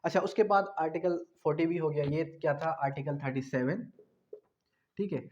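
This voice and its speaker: High-voiced Pakistani man lecturing, dull audio. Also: normal speed, a little mellow. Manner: didactic, asks questions and answers them himself. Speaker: law lecturer. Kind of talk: law lecture explaining articles clause by clause.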